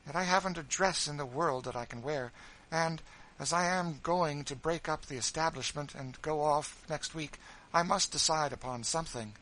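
A man's voice: normal speed, a little hiss or hum, rough recording. A man putting on a petulant widow. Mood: haughty. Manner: complaining, sharp, high and raised. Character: petulant, self-pitying wealthy widow.

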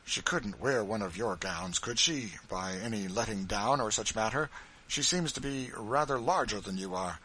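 A man voicing a soft-hearted senator husband who muses tentatively in a storybook reading. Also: lowish voice, moderate pace, husky, poor audio. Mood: musing, tentative.

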